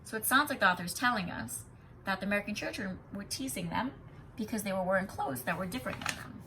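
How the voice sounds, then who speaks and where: A woman teacher reading a children's story expressively and pausing to explain it in an instructive, emphatic way. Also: mid-range voice, animated, noisy background. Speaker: kindergarten teacher.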